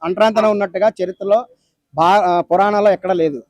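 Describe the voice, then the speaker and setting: A man with a very high voice fires off his points at breakneck speed. He argues insistently and hammers the same phrase again and again. The sound is bone-dry, with no room around it. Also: steady tone, fast, darkish tone, dry recording. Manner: insistent, argumentative, repeats himself for emphasis. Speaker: debate guest. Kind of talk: heated phone-in debate. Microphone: phone call.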